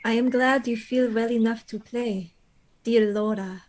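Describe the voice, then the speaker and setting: A woman's voice: a little high, steady tone, measured pace, darkish tone, natural voice, poor audio. An actress playing a mysterious, courteous young lady guest in a radio play, with a languid, gracious and formal manner. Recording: clear background noise under the voice.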